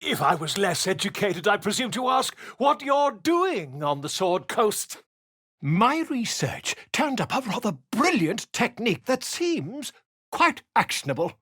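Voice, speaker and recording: An actress playing a sharp, educated female adventurer in a video game, with a dry, probing way of talking. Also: medium pitch, very animated, unhurried, breathy edge, dry recording, exaggerated voice. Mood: wry.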